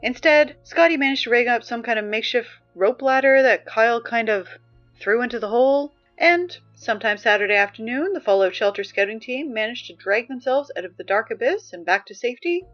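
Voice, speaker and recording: A woman acting as an exasperated, wry high school student reading morning announcements in an apocalypse audio drama, halting and conversational. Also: animated, muffled recording, natural voice. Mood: wry, chatty. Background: music.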